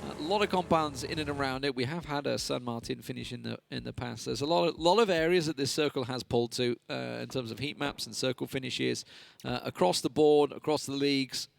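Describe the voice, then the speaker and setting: A man's voice: medium pitch, fairly quick, vocal fry, few pauses, dry recording. A man casting a live esports match on a headset, chatty and analytical, and he laughs easily. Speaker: esports caster.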